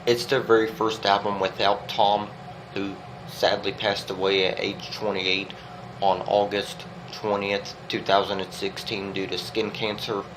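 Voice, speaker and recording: Low, flat-voiced man with a stuffy nose. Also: measured pace. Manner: earnest, rambling and repetitive. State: congested from a winter cold. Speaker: album reviewer. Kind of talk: casual music review vlog. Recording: steady background noise.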